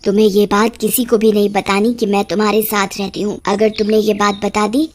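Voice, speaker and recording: Woman voicing a measured, confiding cartoon witch. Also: medium pitch, level tone, slight background noise, lightly acted. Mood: reassuring. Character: short female witch ghost, sympathetic and quietly vengeful. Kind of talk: animated cartoon story dialogue.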